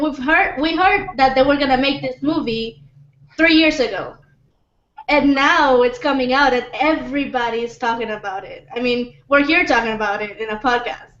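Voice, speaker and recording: A woman's voice: high-pitched, fairly bright tone. A woman talking as a panelist in a casual discussion, hesitant and often repeating her words. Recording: roomy, dull lo-fi podcast audio.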